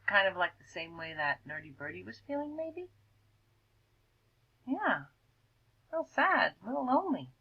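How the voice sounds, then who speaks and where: Woman teacher, read-aloud for kids; breathy tone, lively sing-song pitch, gentle prompting questions. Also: moderate pace, long pauses, dull audio. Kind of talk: reading a picture book aloud to a class.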